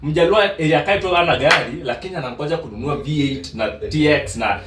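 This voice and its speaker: A man's voice: a little high, quick pace, recorded from a distance, background noise. A Kenyan man hosting a podcast, chatting and teasing with lots of laughter. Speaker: podcast host. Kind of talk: casual banter switching between Swahili and English. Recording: echoey room, away from the mic.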